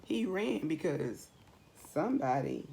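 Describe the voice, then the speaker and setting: A woman's voice: sits low, sing-song, takes it slowly, vocal fry, noisy background. A woman reading aloud to children expressively, asking the listeners questions and repeating phrases for dramatic emphasis. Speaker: storybook read-aloud reader.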